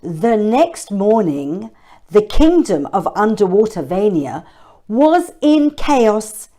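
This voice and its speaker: A woman's voice: mid-range voice, big pitch swings, takes it slowly, dark tone. A woman reading a children's story aloud expressively, with chatty questions and asides for young listeners.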